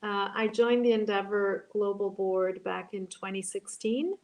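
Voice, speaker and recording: A woman, moderating an online panel and talking in a halting way, full of ums and restarts. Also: mid-range voice, a little mellow, low-quality recording. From Brazil.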